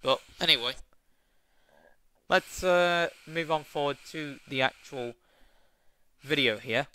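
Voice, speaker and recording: A man's voice: fairly high pitch, slow, pushed and bright, clean tone. A young man casually reviewing a wrestling show, rambling with lots of fillers and restarts, with a tickly cough.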